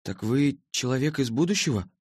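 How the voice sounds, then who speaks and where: A man's voice: medium pitch, lively intonation, a little fast, a little mellow, no room sound, studio quality, pitched above the performer's own voice, lightly acted. Man playing a bewildered, polite young schoolboy. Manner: hesitant, earnest, stumbling.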